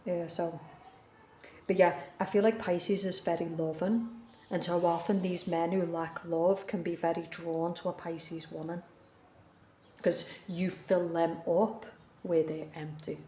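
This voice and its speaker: Low, mellow-voiced woman reading tarot. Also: steady tone, measured pace, reverberant, a little hiss or hum. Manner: earnest, repeats herself for emphasis. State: coming down with the flu. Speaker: tarot reader. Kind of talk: casual tarot reading monologue.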